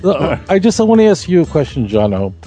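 A man's voice: fairly high pitch, sing-song, fast, dark tone, soft background music. A man with a Brooklyn accent, a rabbi guest on a podcast, joking and rambling with many restarts. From Brooklyn, New York. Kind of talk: casual chat.

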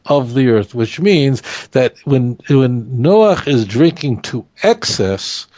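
A man whose first language is Hebrew, explaining scripture in an animated conversational study, often restarting his sentences. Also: mid-range voice, slow pace, dry recording. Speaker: Torah teacher.

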